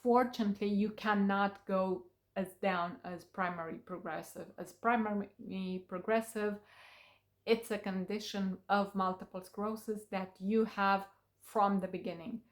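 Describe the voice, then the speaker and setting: Romanian-born woman speaking in an unhurried way. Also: mid-range voice, steady tone. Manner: unhurried, with many fillers and pauses. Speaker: vlogger. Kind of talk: casual talk to camera.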